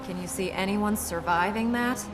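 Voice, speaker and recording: An actress playing a serious, resolute young princess in a video game, speaking in an earnest, terse and dryly reproving way. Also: female voice, mid-range voice, moderate pace, natural voice. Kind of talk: video game cutscene voice acting. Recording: loud music behind the dialogue. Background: loud game score.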